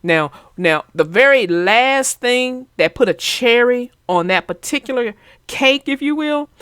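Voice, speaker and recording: A woman reading a children's storybook aloud in a measured, gentle way, then chatting casually about it. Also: mid-range voice, no room sound, clean studio sound. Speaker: storybook narrator.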